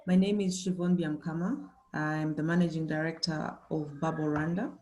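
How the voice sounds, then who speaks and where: Hesitant woman panelist, low-pitched. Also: fairly even intonation, moderate pace, dark tone. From Uganda. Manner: thoughtful, hesitant, with many fillers and restarts. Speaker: panelist, company managing director. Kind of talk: webinar panel presentation.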